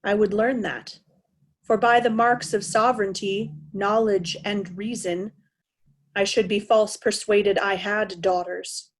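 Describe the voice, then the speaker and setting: A woman's voice: medium pitch, steady tone, unhurried. A woman playing a proud, indignant old king in a Shakespearean reading, stately and commanding. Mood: bewildered, bitter.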